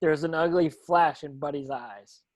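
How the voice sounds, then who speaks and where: A man's voice: fairly high pitch, measured pace. A man narrating stage directions from a script at a table read, sometimes tripping over words.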